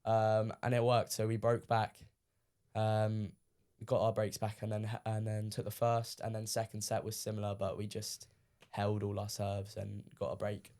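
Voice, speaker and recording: A teenage man from the UK, a guest on a podcast, talking casually with lots of ums, his voice a little croaky from shouting. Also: lowish voice, normal speed. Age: teens. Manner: relaxed, many ums, recounting matches. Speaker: guest player.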